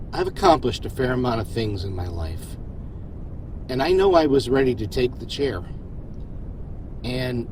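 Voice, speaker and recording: Man playing earnest starship captain, rumbling engine noise. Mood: reflective, sincere. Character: earnest, reflective male starship captain, modest and steady. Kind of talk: science fiction audio drama acting.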